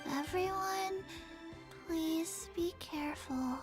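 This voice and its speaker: A woman's voice: very high-pitched, slow. A woman voicing a small, earnest, childlike girl in a video game. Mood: concerned. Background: game music.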